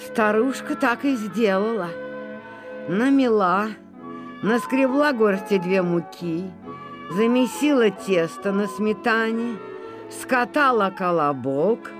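A storyteller reading a folk tale for children in an unhurried, expressive way. The voice rises and falls a great deal. Music plays clearly underneath. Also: medium pitch. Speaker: narrator. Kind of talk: storybook narration. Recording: music playing behind.

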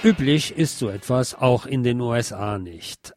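A man's voice: mid-range voice, animated, measured pace, over loud music. A man hosting a radio show, reading a news commentary aloud. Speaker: radio host.

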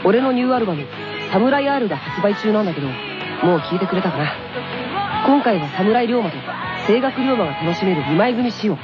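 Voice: mid-range voice, big pitch swings, fast, close to an everyday voice. An actor playing a cool, cocky teenage tennis prodigy in a scripted album advertisement. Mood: cool, confident. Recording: dull lo-fi radio, loud music underneath.

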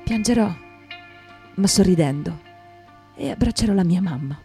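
Female radio-theatre performer, soft warm timbre, dramatic reading delivery, light guitar bed. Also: lowish voice, lively intonation, normal speed. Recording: guitar music behind the voice.

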